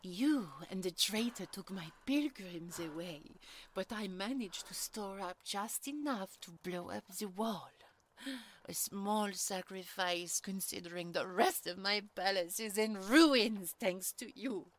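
A woman voicing a vengeful beaked ruler in an audio roleplay, theatrical and taunting, with a creaky voice. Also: mid-range voice, a little slow, lightly acted. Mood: gloating, menacing. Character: vengeful, gloating female beaked palace ruler. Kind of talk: dramatic audio roleplay acting.

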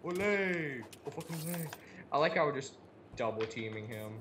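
A man's voice: fairly high pitch, expressive, moderate pace, a bit bright, slight background noise. A young man chatting casually and crudely with a friend as they play a video game together. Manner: crude, profane, joking. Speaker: gamer in co-op let's play. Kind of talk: casual gaming chat with a friend.

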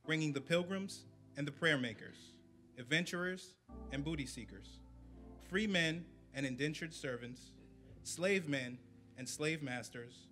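A man in his thirties reads poetry in measured phrases at a podium microphone. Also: on the high side, sharp tone. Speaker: community poetry reader. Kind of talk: poetry recitation. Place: indoor event room, at a podium. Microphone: podium microphone on a stand. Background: faint music.

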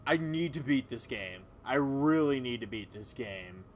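Man, let's player, slow-paced, blunt exclaiming delivery, profanity, faint noise behind. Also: medium pitch, expressive. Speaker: video game let's player. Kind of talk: casual gameplay commentary with profanity.

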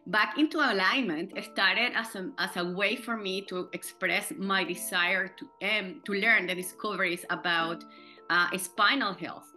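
A woman's voice: mid-range voice, bright, forceful tone. A woman in her thirties from Venezuela with a Venezuelan Spanish accent, hosting a podcast over a video call, talking hesitantly with many fillers and restarts. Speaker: podcast host. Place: home room, indoors. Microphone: video call, webcam.

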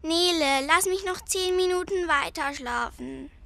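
A woman's voice: very high voice, a little slow, fairly bright tone, dry recording, full character voice. A young woman acting a blunt, nosy girl detective in an amateur radio play. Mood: curious.

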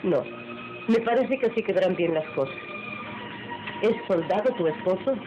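A woman acting a stern, aloof noble lady of an old radio drama. She speaks formally and curtly, as one used to giving orders. The recording is muffled, with music clearly behind her. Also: mid-range voice, expressive, normal speed, lightly acted. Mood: cool, stern. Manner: formal, curt, commanding.

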